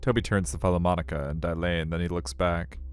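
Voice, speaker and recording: A man's voice: low voice, fairly even intonation, brisk, smooth. A man reading a visual novel aloud as a streamer, in a storytelling style. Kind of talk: storytelling narration with casual asides. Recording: music under the voice.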